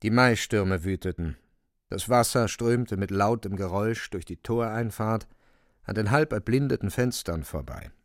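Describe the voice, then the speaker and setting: A man narrating a literary radio drama in a gravelly voice. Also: lowish voice, moderate pace, no echo, studio quality.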